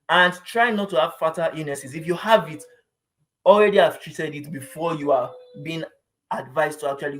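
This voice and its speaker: A man's voice: a little high, rough recording. A man with a Nigerian accent giving informal explanatory advice like a vlogger, conversational and emphatic, often repeating phrases and checking that the listener follows.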